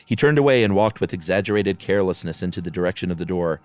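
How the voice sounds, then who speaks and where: A man's voice: deep voice, animated, quick pace, a little mellow. A man hosting and reading a horror story dramatically, with casual asides. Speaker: podcast host and story reader. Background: music.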